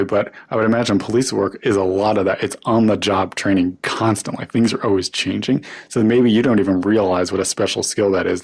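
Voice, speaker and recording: Low, creaky-voiced male podcast host. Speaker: podcast host interviewing a guest. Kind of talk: conversational interview.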